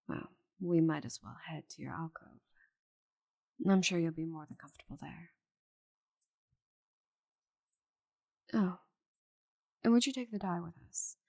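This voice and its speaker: Woman acting proud female dragon, husky and low, dry wit, grudgingly tender, halting when flustered, intimate ASMR roleplay. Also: dark tone. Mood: grudging, fond. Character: giant stubborn female dragon, cursed to shrink, grudgingly affectionate.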